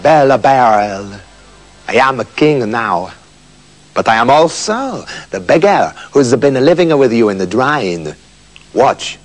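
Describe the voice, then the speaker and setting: Tender soothing king as a man plays him, low, hushed, gentle, gravelly. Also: big pitch swings, unhurried, faint music behind, heavily acted. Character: tender, soothing young king, silly at heart.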